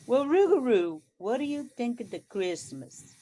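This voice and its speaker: A motherly Cajun cook of the bayou, played by a woman in a radio play. She talks slowly in a fairly high voice, full of hospitality. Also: very animated, mellow. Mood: hospitable. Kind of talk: amateur radio play acting.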